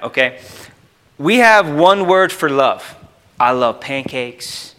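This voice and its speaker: A man's voice: mid-range voice, bright, forceful tone. A man preaching an informal sermon as a youth pastor, speaking emphatically with repeated phrases and conversational asides to his listeners. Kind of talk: informal sermon with scripture quotation and exposition.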